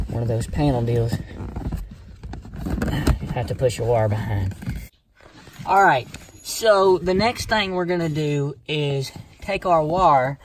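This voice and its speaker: A plainspoken, folksy man giving a casual hands-on tutorial, talking hesitantly in short phrases with pauses. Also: a little high. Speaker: how-to video presenter. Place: inside a parked car. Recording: noisy amateur recording with clicks.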